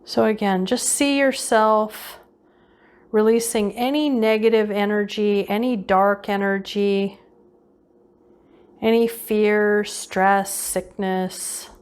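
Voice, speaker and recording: A woman's voice: mid-range voice, steady tone, slow pace, clean tone. A woman leading an unscripted guided visualization meditation, giving casual instructions and often repeating her phrases.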